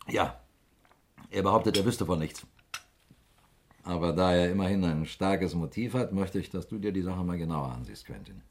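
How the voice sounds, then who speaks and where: Very deep, dour police inspector. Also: male voice, lively intonation, normal speed, long pauses. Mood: matter-of-fact. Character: big, dour, stomach-troubled male police inspector. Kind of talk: 1960s German radio play acting.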